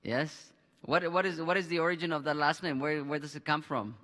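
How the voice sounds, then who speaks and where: A man in his thirties hosting a church Bible discussion on a headset mic, halting with restarts and fillers. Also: fairly high pitch, steady tone, pushed and bright, in a large hall. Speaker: pastor and host. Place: church studio with a screen behind.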